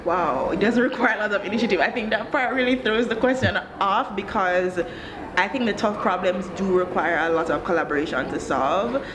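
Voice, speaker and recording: A woman from Jamaica in her thirties, an education director answering interview questions in a thoughtful, articulate, measured way. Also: medium pitch, a crowd in the background. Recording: background noise.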